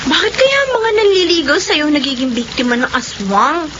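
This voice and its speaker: Muffled radio drama audio with clear background noise. a startled, gossipy young Filipino village woman with a very high voice. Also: sing-song, normal speed. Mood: alarmed, curious. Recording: muffled, noisy riverside scene audio.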